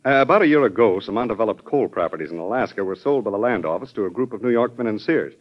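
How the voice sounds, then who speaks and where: A man's voice: on the low side, animated, fast. A man playing an experienced government official in an old radio drama, deferential, careful and a little wry. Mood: careful, explanatory. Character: deferential, measured male cabinet secretary, experienced official. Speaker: actor. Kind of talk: classic American radio drama acting. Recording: dull old radio recording.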